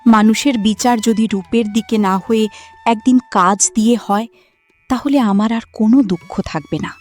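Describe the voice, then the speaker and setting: A woman's voice: on the high side, normal speed, a little mellow, soft background music, natural voice. A woman voicing a patient, hopeful young village bride in a cartoon, earnest and pleading. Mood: wistful. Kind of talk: cartoon voice acting.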